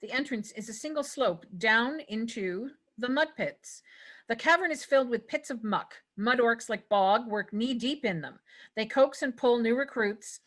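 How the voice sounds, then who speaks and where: Bright, high-voiced woman narrator. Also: moderate pace. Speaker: narrator reading stage directions. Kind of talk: scripted screenplay narration.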